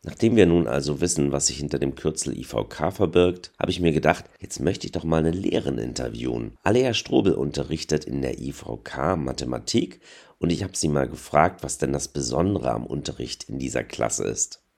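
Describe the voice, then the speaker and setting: A man's voice: extremely low pitch, animated, brisk, vocal fry, a little mellow. A man hosting a school radio show, reading out scripted links between interviews and songs. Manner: read-out linking announcements.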